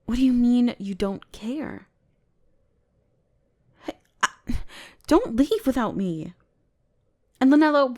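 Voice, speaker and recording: Woman acting a haughty, slow-paced bratty elf mage. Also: fairly high pitch, a little mellow. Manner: bossy, exasperated, condescending. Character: bratty, haughty, easily flustered female elf mage adventurer. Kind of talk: scripted roleplay audio drama addressed to the listener.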